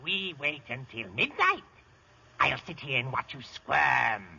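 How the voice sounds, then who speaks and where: A man's voice: medium pitch, wildly swooping pitch, measured pace, creaky, pushed and bright, breathy edge, full character voice. An actor playing a deranged, menacing hermit hoarding his money in a radio comedy-thriller, with a wild, gloating manner. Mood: gloating menace. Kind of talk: 1930s-40s radio comedy-thriller acting. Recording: muffled old radio recording.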